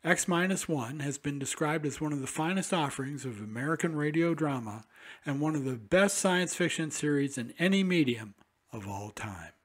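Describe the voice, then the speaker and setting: A man's voice: fairly high pitch, animated, moderate pace, slightly rough. A man presenting a radio drama with a scripted, formal introduction. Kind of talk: scripted narration.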